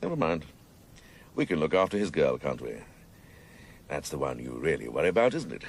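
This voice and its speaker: Very deep, sardonic police chief. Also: male voice, sing-song, a little fast, a little hiss or hum, exaggerated voice. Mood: sardonic, brooding. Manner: sardonic, grandiose, savouring his words. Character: sardonic, obsessive senior police chief, theatrical and vengeful. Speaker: actor in radio drama. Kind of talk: radio drama acting.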